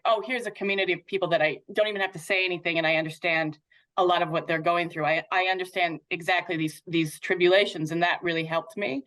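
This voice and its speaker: A woman's voice: lowish voice, a bit bright. A North American woman speaking as an interview guest, reflecting candidly and informally as she thinks aloud. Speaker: patient advocate guest.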